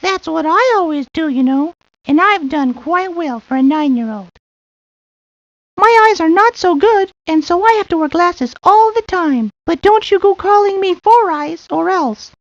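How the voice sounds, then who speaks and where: A woman's voice: very high-pitched, no room sound. A woman voicing a pompous, spoiled nine-year-old rich boy with haughty, formal diction for a video game. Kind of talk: video game character lines. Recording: dull lo-fi old game audio.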